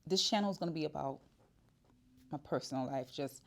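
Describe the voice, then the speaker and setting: A woman's voice: low-pitched, brisk, vocal fry, a bit bright. A woman, talking candidly and casually to the camera in her shop, with many fillers and restarts. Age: 40s. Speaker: vlogger. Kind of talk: casual personal vlog.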